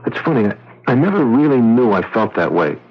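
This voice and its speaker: An actor playing a blunt, agitated husband, a driven and haunted talent agent, in old-time radio drama style. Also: male voice, medium pitch, sing-song, brisk, breathy edge. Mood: haunted, pleading. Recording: dull vintage radio recording.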